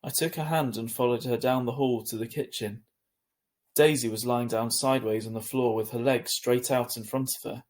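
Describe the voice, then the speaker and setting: A man reading a children's novel aloud as a teacher would, in expressive scripted narration. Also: medium pitch, normal speed.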